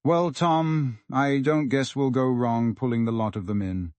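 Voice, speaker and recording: A man voicing a stern, suspicious police lieutenant who questions gruffly in a detective novel. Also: medium pitch, fairly even intonation, measured pace, darkish tone, no echo, lightly acted. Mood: suspicious, firm. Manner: gruff, commanding, interrogating.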